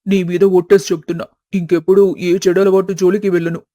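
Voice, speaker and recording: A man's voice: high-pitched, flat delivery, quick pace, dark tone, no room sound, natural voice. A man playing a repentant grown son in a family story, speaking earnestly and respectfully. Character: repentant grown son, devoted younger brother.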